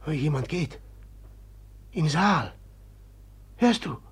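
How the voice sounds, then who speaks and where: A man's voice: on the high side, very animated, takes it slowly, breathy edge, heavily acted. A haunted, brooding young man. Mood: fearful. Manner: brooding, fearful, whispering. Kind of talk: 1950s radio drama acting. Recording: old 1950s mono radio recording.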